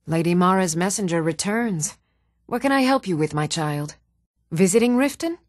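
A woman's voice: fairly low pitch, lively intonation, normal speed, dry recording, lightly acted. Casting: actress for composed fantasy game woman of rank, courteous formal delivery, dry studio sound. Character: composed, polite fantasy townswoman, steward or priestess. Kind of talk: fantasy game character dialogue.

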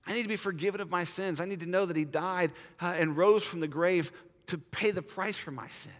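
A man in his thirties, a pastor, earnestly urging his congregation and then praying softly, recorded with a lapel mic in a large church sanctuary. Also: high voice, brisk, soft background music. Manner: earnest, urging, drops soft in prayer. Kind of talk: sermon invitation and prayer. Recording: church hall reverb.

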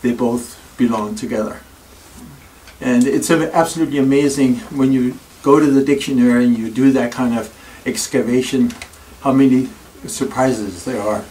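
An older man with a Danish accent gives a reflective talk in a wood-paneled library room. His tone is thoughtful and wry, with pauses and self-deprecating asides. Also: medium pitch, a little mellow. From Denmark. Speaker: speaker giving a library talk. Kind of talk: reflective public lecture. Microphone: far camera. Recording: distant room recording with faint noise.

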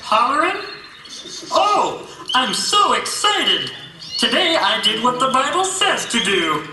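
A performer voicing an excitable, naive, stubborn puppet fellow in a children's religious show, eager and earnest. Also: sits high, slow, slight background noise, heavily acted. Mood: eager, proud. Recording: echoey hall, distant mic, faint music.

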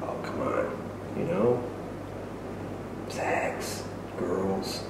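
Awkward adult, quiet fumbling talk, very low voice, noisy distant sound. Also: male voice, extremely slow, very echoey, heavily acted.

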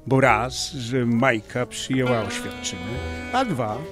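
An actor playing a frank, teasing friend with a colloquial, wry manner in an audio drama. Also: male voice, mid-range voice, takes it slowly, over music, close to an everyday voice. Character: blunt, teasing male friend of the groom's circle.

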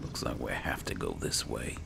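A man with a gravelly voice giving casual gameplay commentary, muttering to himself as he thinks aloud. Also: normal speed. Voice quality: gravelly, very low voice. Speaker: video game player and narrator. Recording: noisy recording with game ambience.

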